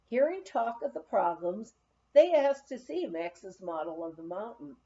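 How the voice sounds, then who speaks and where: A woman reading a memoir aloud as an audiobook, with an expressive, deliberate storytelling delivery. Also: mid-range voice, lo-fi sound. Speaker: audiobook reader. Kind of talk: scripted memoir narration, read aloud.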